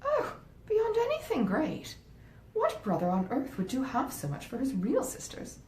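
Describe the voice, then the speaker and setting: In a slightly echoey room, a woman reader puts on a scheming, selfish wife from a storybook classic. She is coaxing and indignant, with a lively, swooping pitch and a soft, mellow tone. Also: measured pace, heavily acted. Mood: gushing flattery. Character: selfish, wheedling wife scheming against her sisters-in-law. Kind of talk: acted dialogue in a classic novel reading. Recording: roomy echo, faint rumbling noise.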